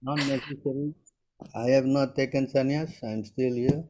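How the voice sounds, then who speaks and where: A man in his sixties with a mellow, soft-edged voice at an everyday middle pitch. He gives informal spiritual guidance over an online call and keeps pausing to ask whether his listeners follow. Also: steady tone, poor audio. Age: 60s. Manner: keeps checking if listeners understand. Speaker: spiritual teacher answering questions. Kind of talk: informal devotional Q&A.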